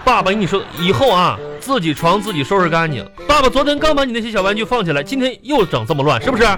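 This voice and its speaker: A man's voice: sits high, sing-song, quick pace. A man playing a fussy, exasperated but doting father with a Northeastern Chinese accent, stammering and nagging in a comedy radio skit. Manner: stammering repetitions, nagging rhetorical questions. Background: music.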